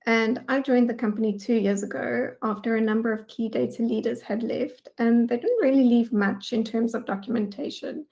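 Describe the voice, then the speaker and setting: A South African woman presents as a guest speaker on a webinar. Her voice is fairly high with a strong creak, and her delivery is prepared yet informal and conversational. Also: warm tone. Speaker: guest speaker, data engineering manager.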